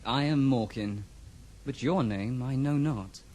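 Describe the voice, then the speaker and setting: A man's voice: medium pitch, a little slow, a little mellow, lightly acted. A man playing a despondent young prince in a fantasy audio drama, speaking in a subdued, weary manner. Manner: downcast, subdued.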